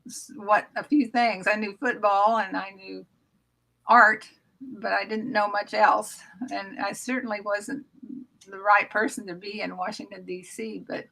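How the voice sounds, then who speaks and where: An older American woman in her seventies reminisces casually as an interview guest. Her voice is bright and creaky, at a middling pitch. She speaks from home over a video call. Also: level tone, unhurried, low-quality recording. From Oklahoma, United States. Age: 70s. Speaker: guest author. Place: small room at home, indoors. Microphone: computer mic on video call.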